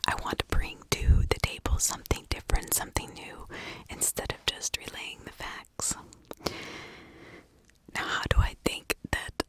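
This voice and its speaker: A woman whispering in an informal ASMR style, telling stories and giving her own opinions in a breathy, conversational way. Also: measured pace, poor audio. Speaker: ASMR true crime storyteller, channel host.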